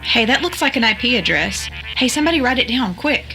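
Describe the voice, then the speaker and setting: A woman's voice: fairly high pitch, lively intonation, fast talker, vocal fry, pushed and bright, soft background music, heavily acted, low-quality recording. A woman playing a curious, tech-savvy young computer sleuth in an audio drama, explaining things conversationally. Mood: excited, explanatory.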